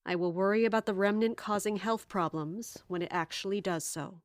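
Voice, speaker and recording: A woman's voice: medium pitch, a little fast, dry recording, studio quality, close to an everyday voice. An actress playing a composed, formal and stern female captain with an authoritative manner in an audio drama. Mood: firm, formal.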